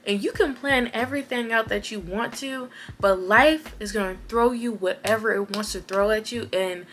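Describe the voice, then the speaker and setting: A woman, chatting casually to camera as a vlogger, animated and repeating words for emphasis. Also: mid-range voice. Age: 20s.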